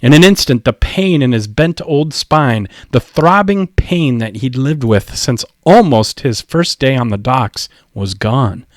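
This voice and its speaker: Male audiobook narrator, mid-range voice, expressive scripted storytelling, close dry recording. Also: medium pitch, a little slow, few pauses, no room sound.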